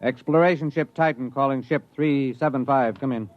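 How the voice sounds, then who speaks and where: Starship commander, played by a male actor: mid-pitched man, measured and commanding, 1950s radio drama, muffled old recording. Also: natural voice. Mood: brisk command. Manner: authoritative, deliberate military formality.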